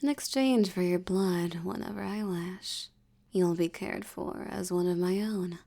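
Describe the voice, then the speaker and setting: A dark, mellow female vampire played by a woman, possessive and seductive. She speaks very slowly in a breathy near-whisper with some gravel in it, teasing and condescending as she gives orders in an intimate roleplay. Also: fairly low pitch. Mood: coolly menacing, bargaining. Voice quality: breathy, often dropping toward a whisper. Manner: slow, teasing, commanding, condescending. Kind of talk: intimate roleplay audio acting.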